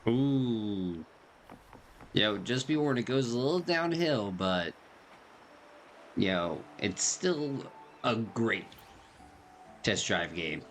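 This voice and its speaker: A man's voice: on the low side, animated, drawn-out words, pauses often. A man giving casual, slangy playthrough commentary, reacting to a game and reading bits of dialogue aloud. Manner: laid-back, slangy, reactive. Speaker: game streamer. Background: faint game music.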